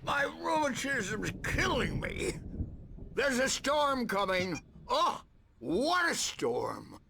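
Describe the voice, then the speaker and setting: A man playing a crazy, defiant old rock musician in a video game, with a cracked, creaky old voice. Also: very high voice, wildly swooping pitch, takes it slowly, background noise, full character voice. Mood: grumbling. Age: older. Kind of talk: video game cutscene acting.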